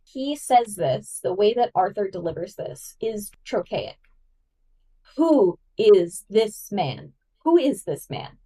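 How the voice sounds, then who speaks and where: A woman's voice: mid-range voice, dark tone, husky, distant mic, low-quality recording. A woman giving enthusiastic, emphatic literary analysis as a podcast guest, casual and nerdy in her delivery.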